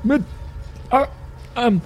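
A man playing a tabletop role-playing game, talking casually and haltingly as he improvises. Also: very high-pitched, soft background music. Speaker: tabletop role-play player.

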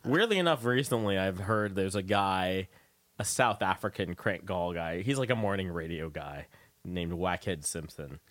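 A man's voice: deep voice, moderate pace, a bit bright, no echo. A man hosting a radio show, chatting casually with a guest in a rambling, tangential way. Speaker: radio show host and interviewer. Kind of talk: casual interview chat.

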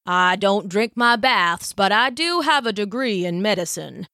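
A woman's voice: medium pitch, lively intonation, a little slow, pushed and bright, no room sound. Woman playing a dry, skeptical frontier town doctor. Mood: indignant. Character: skeptical male small-town physician, dry and proper.

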